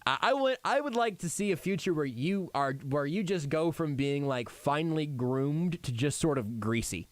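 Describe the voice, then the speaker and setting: A sports radio host, a man with a voice that leaps around in pitch as he jokes. He keeps poking fun at himself in loose on-air banter. The studio sound is dry and close, with no room around it. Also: normal speed, hardly any pauses, no echo. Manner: animated, jokey, self-deprecating. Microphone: studio microphone.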